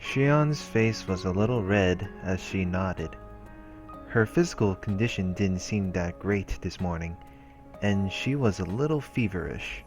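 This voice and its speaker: A man's voice: low-pitched, expressive, a little slow, soft background music. A man reading visual novel text aloud as a let's play host, in a casual way with offhand asides.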